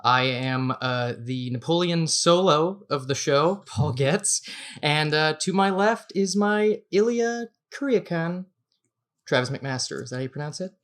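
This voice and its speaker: A man with a bright, forceful voice sitting a bit high for a male speaker. He hosts a podcast in a casual, conversational style. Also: animated, a little slow, clear, resonant tone. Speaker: podcast host. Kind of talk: casual film discussion.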